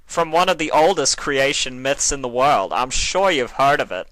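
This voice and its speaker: A man's voice: expressive, moderate pace, a loud crowd, natural voice, rough recording. Man doing an odd-cadenced, ranting pony tyrant. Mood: earnest, lecturing. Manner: odd cadence, pitch rising at sentence ends. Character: male pony tyrant king, earnest, power-mad, ranting. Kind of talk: fan fiction reading, cartoon villain.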